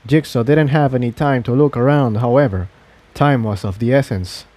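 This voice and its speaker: A man reading an adventure fan fiction story aloud as scripted narration, in a mellow voice. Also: mid-range voice, normal speed, no room sound.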